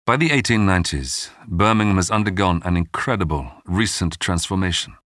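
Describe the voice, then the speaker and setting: A man hosting and narrating a scripted history documentary in a measured, dramatic storytelling manner. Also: deep voice, fairly bright tone, no echo. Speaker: documentary podcast narrator and host. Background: background music.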